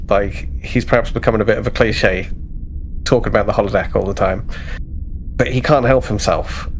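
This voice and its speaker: A man's voice: fairly low pitch, slight background noise. A man playing a tabletop roleplaying game, casually improvising his character's actions and searching for words.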